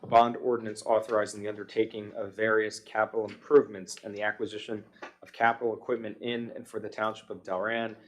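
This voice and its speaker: Flat, low-voiced American man chairing a meeting. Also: fast, a little hiss or hum. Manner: procedural, reading long legal text aloud. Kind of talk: formal reading of ordinances and motions. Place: township public meeting room.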